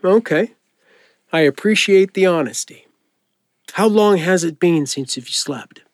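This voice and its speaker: A man playing a friendly, informal doctor who gently encourages and reassures a patient in an audio drama. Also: sits high, animated, a little slow, dry recording, clean studio sound. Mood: concerned. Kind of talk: naturalistic audio drama acting.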